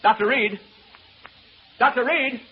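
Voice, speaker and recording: An actor playing a flippant, skeptical radio presenter, chatting and joking in old-time radio drama style. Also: male voice, very high voice, slow pace, breathy edge, exaggerated voice. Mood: nervous, alarmed. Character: wisecracking skeptical male radio presenter in a haunted house. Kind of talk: old-time radio drama acting, live on-the-spot commentary. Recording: noisy dull old radio recording.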